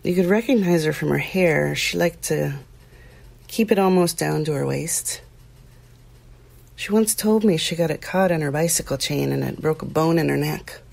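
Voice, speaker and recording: An actress playing a weary, uneasy small-town woman, recollecting in a hesitant, plainspoken, confiding way with pauses, as testimony in an audio drama. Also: fairly low pitch, gravelly, a little mellow. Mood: uneasy, reflective.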